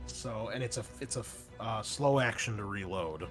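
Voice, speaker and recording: A man's voice: fairly low pitch, normal speed, a bit bright, soft background music. A man running a tabletop roleplaying game as game master, explaining rules and narrating with frequent fillers and restarts.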